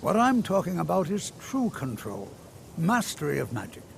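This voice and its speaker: A kindly old male wizard from a fantasy game, with a creaky voice that rises and falls in a lively way. He teaches patiently and courteously, urging caution, over faint rumbling noise. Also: moderate pace, exaggerated voice. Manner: gentle, courteous, instructing. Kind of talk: video game character dialogue.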